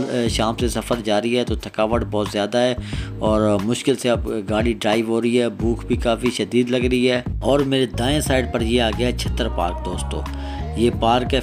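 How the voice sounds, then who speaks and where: A man with a Pakistani accent narrating a travel vlog casually, explaining things to his viewers as friends. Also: medium pitch. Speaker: travel vlogger. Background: background music.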